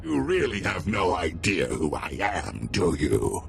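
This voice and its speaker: An actor playing a proud, menacing alien ex-soldier villain in a video game, gravelly, taunting and boastful. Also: male voice, low-pitched, huge pitch swings, a little slow, a little hiss or hum, exaggerated voice. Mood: proud menace.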